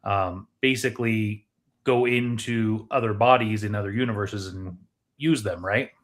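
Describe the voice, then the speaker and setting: A man talking casually as a podcast co-host, explaining things in a measured way. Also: fairly low pitch. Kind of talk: casual movie chat.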